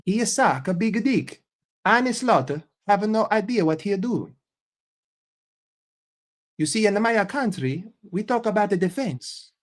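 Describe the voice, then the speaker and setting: A man putting on a mock Italian accent as a crude football pundit, trading slow, swaggering, vulgar insults. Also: high voice, big pitch swings, pitched above the performer's own voice.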